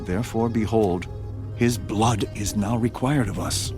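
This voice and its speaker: A man's voice: on the low side, steady tone, a little slow, natural voice. A man playing a grave, passionate eldest Hebrew brother in a dramatized audio Bible, emphatic and reproachful. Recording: loud music bed.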